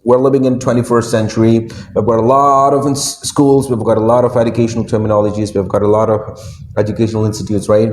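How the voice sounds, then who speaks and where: Urdu-speaking man from Pakistan, stumbling guest speaker; warm tone, faint hiss in a reverberant room. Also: on the low side. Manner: hesitant, repeats words, many fillers. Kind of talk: interview answers.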